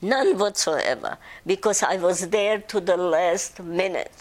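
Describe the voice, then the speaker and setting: An older woman from Czechoslovakia, speaking haltingly in an oral history interview. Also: lowish voice. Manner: halting, many restarts and fillers. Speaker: interviewee, survivor recounting childhood.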